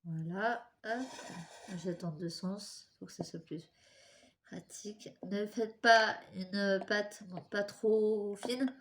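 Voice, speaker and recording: A woman's voice: medium pitch, takes it slowly, a little hiss or hum. Chatty woman with a stuffy nose. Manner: chatty, apologetic, talks while working with her hands. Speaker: crafts tutorial host. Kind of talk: casual step-by-step craft tutorial.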